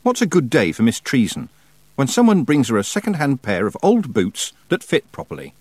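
A man's voice: mid-range voice, wildly swooping pitch, normal speed, a little mellow, breathy edge, no echo, voice pushed up. A man voicing an indignant, stubborn twelve-year-old witch girl, earnest and protesting. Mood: sullen.

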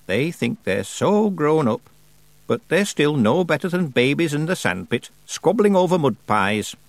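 A man voicing an ancient female witch, stern and sly, in a slow, drawn-out, lofty manner for an audiobook. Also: fairly high pitch, very animated, dry recording, pitched above the performer's own voice. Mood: scornful.

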